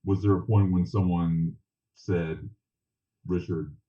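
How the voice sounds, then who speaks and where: A man with a low voice conducts a casual, conversational interview. He feels his way into questions, often restarting them. The recording is dull and echoes with the room. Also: steady tone, takes it slowly, mellow. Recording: dull roomy echoey sound.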